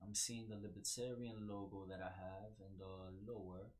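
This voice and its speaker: A man's voice: deep voice, level tone, normal speed, darkish tone. A man with a New York accent hosting a solo podcast, rambling with many fillers and restarts. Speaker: podcast host.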